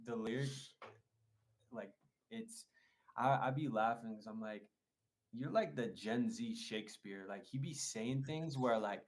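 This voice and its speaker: A man's voice: medium pitch, animated, measured pace. A man talking as a producer guest in a casual interview, rambling with many likes and restarts.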